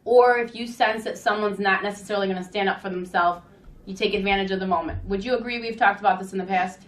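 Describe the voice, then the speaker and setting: Woman as no-nonsense school mediator, medium-pitched, instructive and questioning, in a student role-play. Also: fairly even intonation, fast talker, reverberant, natural voice. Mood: firm, lecturing. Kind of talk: amateur school dramatization.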